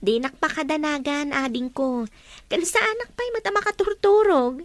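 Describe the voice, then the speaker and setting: A woman's voice: sits high, expressive, moderate pace, dry recording. A woman playing a modest, shy young female student and caring elder sister in a radio drama. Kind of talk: Ilocano radio drama acting.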